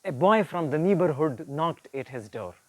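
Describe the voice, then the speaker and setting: A male storyteller with a somewhat high voice shares an uplifting tale in an informal, earnest style. He explains as he goes, pausing often and repeating words as he finds the next phrase. The sound is very dry, with almost no room reflection. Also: expressive, dry recording. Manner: earnest, didactic, halting with frequent pauses and repeats. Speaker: storyteller and presenter. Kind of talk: informal retelling of an inspirational moral story.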